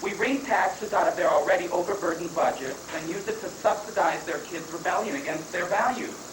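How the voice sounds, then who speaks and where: A performer playing an excitable, indignant presidential aide, emphatic and declamatory, in an amateur dramatic reading of a satire. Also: mid-range voice, fairly quick, lightly acted. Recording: noisy, echoey live reading from far off.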